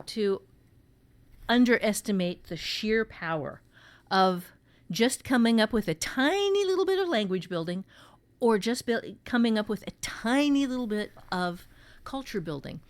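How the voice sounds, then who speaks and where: Female writing teacher, medium pitch, close dry recording, explains step by step. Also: dry recording. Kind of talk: conversational teaching.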